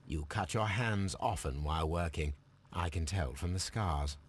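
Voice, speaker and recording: An actor playing a brilliant, precise English gentleman detective in a video game, speaking formally and coolly. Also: low voice, slightly rough. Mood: coolly analytical. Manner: formal, measured, coolly deductive delivery. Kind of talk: scripted video game dialogue.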